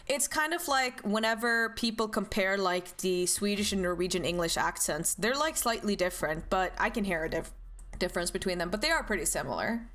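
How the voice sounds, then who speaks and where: A young woman from Norway streaming a game, chatting casually and greeting chat with high, bubbly hellos. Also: a bit bright.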